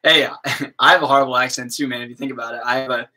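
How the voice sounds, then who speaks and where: A young man from the US East Coast with a slow drawl, chatting casually with viewers on his livestream and padding his talk with fillers. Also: mid-range voice, steady tone, sharp tone. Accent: American East Coast. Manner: slow drawl, lots of fillers like "you know what I mean". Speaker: livestream host, musician.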